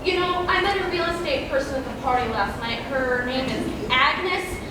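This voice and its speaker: A female student actor playing a dramatic, highly strung woman in a live stage comedy. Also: expressive, normal speed. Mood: irritable, dramatic. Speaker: student actress in a school one-act play. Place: large hall, recorded far from the stage. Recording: distant echoey stage recording, loud noise.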